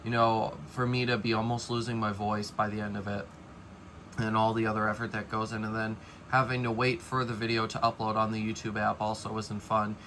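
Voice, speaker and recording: A man's voice: on the low side, little pitch movement, brisk, fairly bright tone, a little hiss or hum. A young man casually giving a rambling update to viewers of his vlog, with many fillers and restarts. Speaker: fan collector vlogger.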